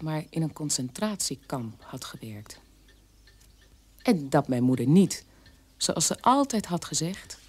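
An actress playing a camp worker's sombre daughter, recalling gravely and with measure in a radio drama monologue. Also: female voice, sits low, a little mellow.

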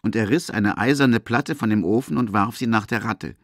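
A man's voice: fairly low pitch, normal speed, no room sound, studio quality. A man reading scripted literary narration as the narrator of a radio play.

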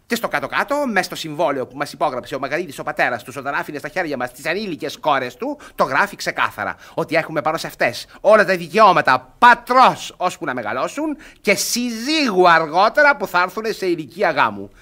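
A high, animated, domineering grumpy guardian. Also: male voice, few pauses. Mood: domineering, lecturing. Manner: emphatic, domineering, sarcastic. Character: stern, grumbling, possessive older male guardian, old-fashioned. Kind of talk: Greek radio theatre comedy acting.

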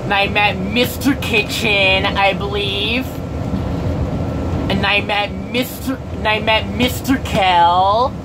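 A young man chatting casually to a handheld camera in a halting, repetitive, rambling way. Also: fairly even intonation. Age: teenager or young adult. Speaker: vlogger. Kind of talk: casual rambling vlog chat. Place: inside a moving school bus. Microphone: handheld camera at arm's length. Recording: loud bus engine and road rumble.

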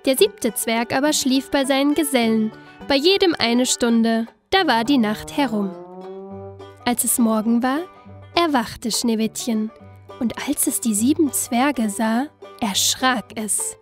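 A woman's voice: fairly high pitch, unhurried, faint music behind. A woman narrating a scripted fairy tale expressively, with careful storytelling phrasing.

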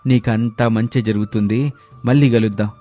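A man playing humble, earnest village men in the dialogue of an animated moral story. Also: fairly low pitch, normal speed, close to an everyday voice. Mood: encouraging, grateful. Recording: music under the voice.

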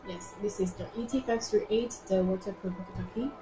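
A woman's voice: mid-range voice, fairly even intonation. Young woman demonstrating products, roomy echo. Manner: simple halting non-native English, repeats phrases. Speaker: product presenter. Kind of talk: informal live product demonstration. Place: indoor product showroom. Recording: roomy echo with music behind. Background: music.

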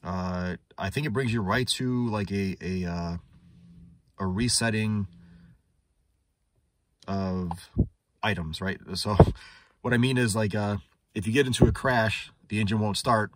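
Low-voiced man casually demonstrating a tool. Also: unhurried, long pauses, dry recording. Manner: conversational, thinking aloud. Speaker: automotive technician reviewing a scan tool. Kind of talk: casual unscripted product demonstration. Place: inside a parked car in a shop.

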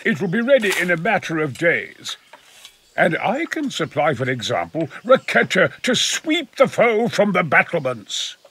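A man's voice: sits high, sing-song, a little slow, sharp tone, a little hiss or hum, exaggerated voice. An actor playing a proud, eccentric middle-aged military engineer in a video game, formal and boastful as he explains things at length. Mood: eager, boastful. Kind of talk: video game dramatic acting.

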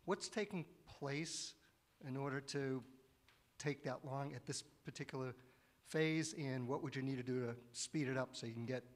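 A man's voice: medium pitch, normal speed. A man in the audience politely congratulating the speaker and asking a technical question.

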